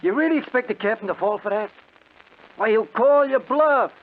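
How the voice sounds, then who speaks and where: An actor playing a skeptical, sardonic gangster, blunt and slangy, in a 1950s radio drama. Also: male voice, sits high, lively intonation, moderate pace, phone-quality sound. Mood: doubtful, challenging. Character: skeptical, sardonic, tough male gangster henchman. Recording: phone-thin, noisy 1950 radio recording.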